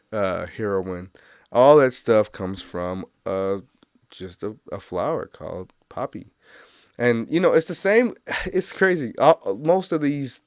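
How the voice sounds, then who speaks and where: A man's voice: on the low side, smooth, no room sound. Male solo host, congested, loose and rambling, dry close sound. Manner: rambling, laughs at own jokes, swears freely. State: stuffy nose, congested. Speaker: podcast host. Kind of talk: casual solo monologue.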